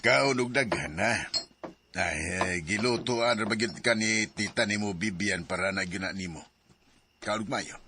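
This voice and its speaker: A man playing a father who calms his son and dodges questions about his marriage. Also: fairly low pitch, measured pace, slight background noise. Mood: coaxing. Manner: soothing, evasive. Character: father, separated from his wife, evasive.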